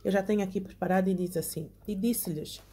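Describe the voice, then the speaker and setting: An earnest young woman leads an online Bible class. Her voice is mellow and soft-edged, sitting at an ordinary middle pitch. She reads from her notes and keeps drawing her listeners in by asking them to say amen. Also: steady tone, fairly quick, slight background noise. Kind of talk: online Bible lesson, partly read from notes.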